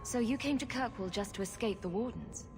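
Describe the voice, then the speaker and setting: A woman playing a practical, lightly wry adventurer in a video game, speaking in a measured, businesslike way. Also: fairly high pitch, music in the background, natural voice. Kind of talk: video game cutscene acting.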